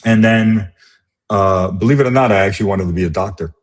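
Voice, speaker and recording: A middle-aged man, born in Romania and raised in the US, speaking as an interview guest in a reflective, halting way with many restarts. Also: fairly low pitch. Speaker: guest, business executive. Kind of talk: casual interview conversation.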